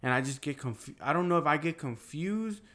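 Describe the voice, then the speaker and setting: Bilingual guy in casual chat, native Spanish speaker, slightly high voice, rambles with many restarts. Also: animated, fairly bright tone. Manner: rambling, halting restarts, swears.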